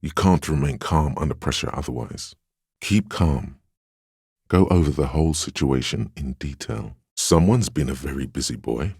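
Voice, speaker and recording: An extremely deep, gravel-edged inner voice of willpower for a video game. It counsels like a stern but encouraging mentor, measured and solemn, with a heavy husk in the tone and a dry, close sound. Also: male voice, expressive, a little mellow, no room sound, heavily acted. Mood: steadying. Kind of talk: scripted game narration, second-person counsel.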